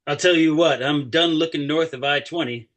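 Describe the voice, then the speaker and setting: A man's voice: on the high side, moderate pace, fairly bright tone, lightly acted. A man acting a stubborn, grumbling oilman of sixty in a drama script read. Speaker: actor.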